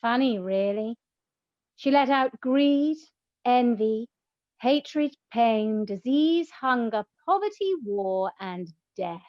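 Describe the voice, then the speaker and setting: A woman playing a teasing, theatrical goddess of love in a comic radio play, with mocking, drawn-out emphasis. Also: on the high side, expressive, no echo, highs cut off. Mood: breezy, knowing.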